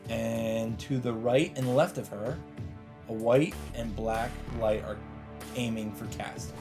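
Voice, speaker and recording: A man's voice: mid-range voice, fairly even intonation, slow. A man reading a visual novel aloud as a let's play host, in dramatic scripted narration. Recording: loud game music behind voice. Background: visual novel soundtrack.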